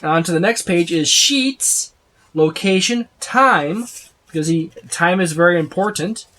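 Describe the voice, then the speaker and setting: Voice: medium pitch, animated, a little slow, fairly bright tone. A person giving a casual, unscripted overview of a game rulebook, rambling and repeating points for emphasis as they itemize. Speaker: tabletop game book reviewer.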